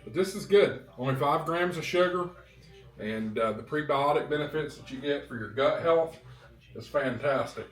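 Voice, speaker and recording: A man talking casually to viewers as a vlog host, in an unhurried, halting way with short phrases. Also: a little high, soft background music. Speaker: vlog host, ham radio hobbyist.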